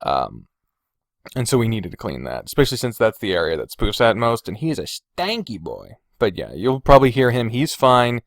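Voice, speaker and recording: Male podcaster, very lively pitch swings, mid-pitched voice, casual rambling, sweary, self-deprecating, dry room sound. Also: normal speed, no room sound. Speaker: podcast host and video creator. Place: indoors in a bedroom. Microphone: Blue Snowball omnidirectional USB microphone.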